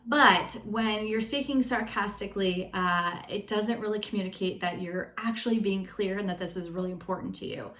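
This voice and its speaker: A woman's voice: medium pitch, normal speed, few pauses, a bit bright. Chatty woman giving advice in a roomy space. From Greek family background. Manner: chatty and candid, with frequent fillers. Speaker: life and relationship coach. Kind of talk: casual advice talk to camera. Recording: roomy echo.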